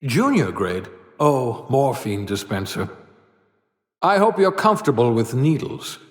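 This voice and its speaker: Older mad scientist, video game character, wry, theatrical, very animated intonation, muttered asides, reverberant hall sound. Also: male voice, medium pitch, unhurried. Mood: sardonic musing.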